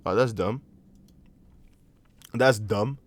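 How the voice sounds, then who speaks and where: A young man whose first language is Dutch, speaking English with a Dutch accent. He hosts a casual crypto livestream, chatting loosely, reading chat aloud and thinking out loud with lots of fillers. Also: fairly low pitch, drawn-out words. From Netherlands. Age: young adult. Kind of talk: casual livestream chart analysis.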